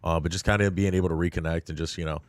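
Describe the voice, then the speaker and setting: A man's voice: low voice, fairly even intonation, very fast, no echo, studio quality. A man co-hosting a podcast, chatting in a laid-back, joking way. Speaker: podcast co-host. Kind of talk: casual chat.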